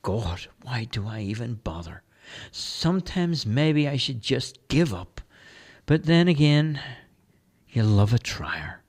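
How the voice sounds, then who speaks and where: A man's voice: medium pitch, very animated, takes it slowly, darkish tone, exaggerated voice. A man voicing Father Saul, a weary, kindly priest who speaks piously and sighs at his lot. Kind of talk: amateur play read aloud.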